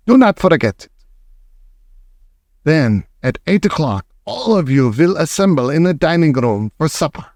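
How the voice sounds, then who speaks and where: A man's voice: on the high side, darkish tone, no echo, studio quality. A man reading an audiobook, voicing a bossy, contemptuous female witch leader who barks orders. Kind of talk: audiobook character voice.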